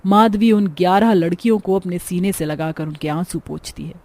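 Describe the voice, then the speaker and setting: Mellow woman narrating a cartoon story. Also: fairly low pitch, moderate pace. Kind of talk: scripted dramatic storytelling for a cartoon. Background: background music.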